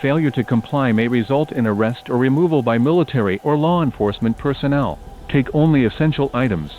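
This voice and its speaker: A man's voice: mid-range voice, brisk. A man playing a stern, impersonal emergency alert announcer, reading commanding official notices through a broadcast filter. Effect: emergency broadcast phone-like filter. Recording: tinny band-limited emergency broadcast.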